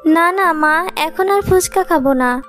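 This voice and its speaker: A woman's voice: very high voice, unhurried, a bit bright, faint music behind. A woman voicing a poor, proud and dutiful young schoolgirl in a cartoon, earnest and polite. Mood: gentle. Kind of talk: cartoon voice acting.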